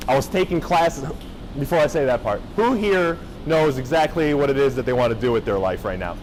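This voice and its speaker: A young man from Japan giving a casual motivational talk to high school students, often checking in with the crowd. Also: expressive, fairly quick, darkish tone, a little hiss or hum, low-quality recording. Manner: casual, engaging, checks in with the audience. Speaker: motivational speaker. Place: high school gathering space.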